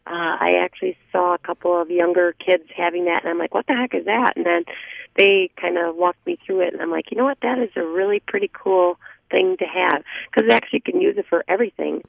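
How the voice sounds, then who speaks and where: A woman's voice: lowish voice, a little fast, gravelly, no echo. A woman in her fifties calling in to a radio show, talking casually with many restarts and small laughs. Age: 50s. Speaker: caller. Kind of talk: casual call-in chat. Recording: tinny phone line.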